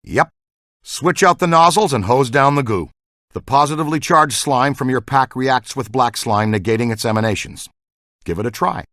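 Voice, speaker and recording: A man's voice: mid-range voice, moderate pace, fairly bright tone, dry recording, studio quality, lightly acted. A man voicing an eager, good-natured ghost-hunting scientist in a video game, earnest and technical. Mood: earnest, explaining. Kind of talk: video game dialogue, battle shouts and banter.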